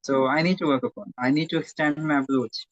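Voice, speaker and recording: Man, primary school teacher, halting speech, self-correcting, dull recording, dry sound. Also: on the high side, fairly even intonation, no room sound. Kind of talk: interview conversation.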